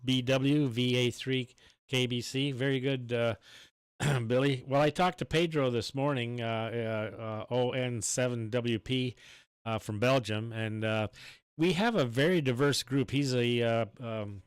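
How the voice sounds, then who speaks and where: Smooth-voiced man, close and dry. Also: mid-range voice, no echo. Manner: halting, anecdotal. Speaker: amateur radio operator. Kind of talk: casual radio chat.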